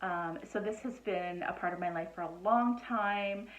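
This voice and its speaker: A woman from Nova Scotia, Canada, in her thirties, casually introducing herself to camera as a psychic medium, relaxed and full of ums and restarts. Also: medium pitch, normal speed, roomy sound, slight background noise, poor audio. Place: home.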